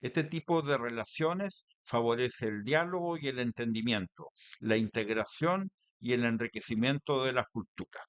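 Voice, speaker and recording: A man with a Chilean accent hosting a radio interview in measured, deliberate phrases. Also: medium pitch, fairly bright tone, clean tone, no echo. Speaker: radio host. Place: radio studio.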